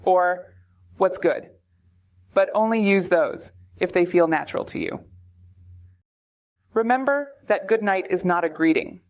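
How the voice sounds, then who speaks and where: A woman teaching English in a slow, unhurried voice, with each word spelled out clearly for learners. She sits on the lower side of pitch for a woman and sounds calm and steady. The voice-over is dry and close, with almost no room sound. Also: no room sound, highs cut off. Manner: slow, careful, clearly articulated teaching delivery. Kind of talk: scripted English lesson narration.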